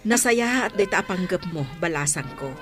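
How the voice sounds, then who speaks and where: A woman's voice: on the low side, expressive, normal speed, lightly acted. A woman acting in a radio drama as a supportive, devout mother. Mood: approving. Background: prominent music.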